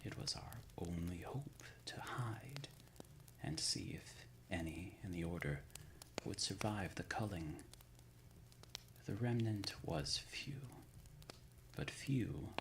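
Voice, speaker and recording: A man playing an ancient, solemn undead leader of a secret order in an ASMR roleplay, speaking in a breathy, gravelly whisper with formal, archaic diction. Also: low voice, animated, takes it slowly, pauses often, background noise, exaggerated voice. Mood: grave recollection.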